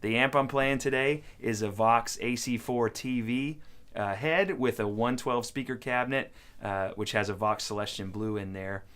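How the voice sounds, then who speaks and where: A man's voice: medium pitch, normal speed, a bit bright, smooth. A man hosting a gear demo, explaining things in an informal, conversational way as a casual tutorial. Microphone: studio microphone into audio interface.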